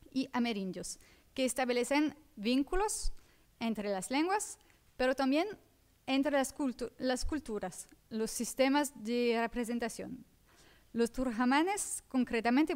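A woman with a Portuguese accent reading a conference paper in a seminar room, careful and halting, often correcting herself. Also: fairly high pitch, a little mellow, clear, resonant tone. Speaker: academic speaker.

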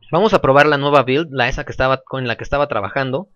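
A man's voice: mid-range voice, fairly quick, no echo. Casual gamer narrating his match, sore throat, slightly muffled home mic. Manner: relaxed, repeats phrases, chatty. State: sore throat, clearing it often. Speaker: gameplay commentator. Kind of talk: casual gameplay commentary.

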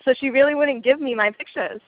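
A young woman telling a story in casual chat on a phone call, chatty and quick to swear. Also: sits high, no echo. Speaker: caller. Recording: dry tinny phone line.

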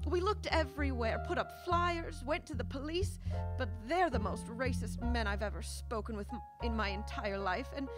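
A woman's voice: fairly high pitch, normal speed, over loud music, lightly acted. A woman playing an earnest, flustered nun who runs an orphanage, explaining hesitantly in a comic radio play. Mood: earnest, worried.

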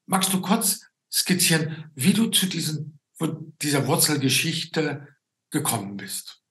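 A man, interviewing conversationally in a halting way, often adding a questioning tag. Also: fairly high pitch, big pitch swings, fairly bright tone. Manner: halting, often tagging sentences with a questioning 'ne?'. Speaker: interviewer and show host. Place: indoor room at home, on a video call. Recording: roomy, echoing video call.

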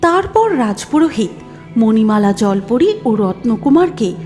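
A woman's voice: fairly high pitch, very animated, unhurried, mellow, faint music behind. A woman narrating a scripted fairy tale as a storyteller.

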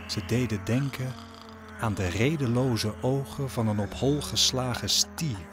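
A man's voice: medium pitch, a little slow. A man narrating a story in a scripted audio drama style. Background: music.

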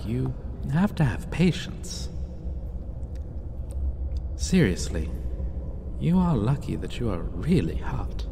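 Man voicing a possessive centuries-old vampire, soft controlling whispers, lively pitch, faint hiss behind. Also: long reverb tail. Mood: reflective. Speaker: ASMR roleplay voice actor. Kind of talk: ASMR roleplay.

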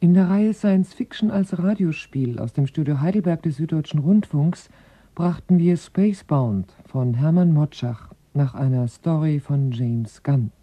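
A radio announcer reading the credits in a formal, unhurried way. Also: mid-range voice, animated, highs cut off.

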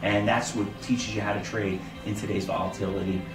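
A middle-aged man talks persuasively and emphatically about his trading school. His voice sounds roomy and slightly distant, as if caught a step away from the mic. Clear background music runs under him. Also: fairly low pitch, little pitch movement, a little fast. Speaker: trading school founder and instructor. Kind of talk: promotional testimonial talk to camera. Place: hotel room with banner.

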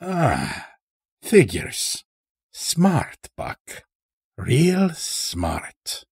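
A man's voice: fairly high pitch, wildly swooping pitch, extremely slow, vocal fry, breathy edge, no echo, clean studio sound, pitched above the performer's own voice, heavily acted. A man voicing a sly, weary old stranger in an audio story, with a folksy, rambling way of talking. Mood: wry, easygoing. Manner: folksy, rambling, dropped words.

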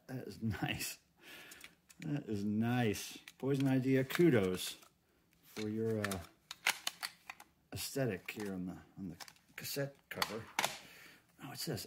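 American man chatting over crinkly handling noise. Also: mid-range voice. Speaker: host of a cassette unboxing video. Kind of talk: casual unboxing commentary. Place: indoors at a tabletop. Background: plastic wrap crinkling, cases clicking.

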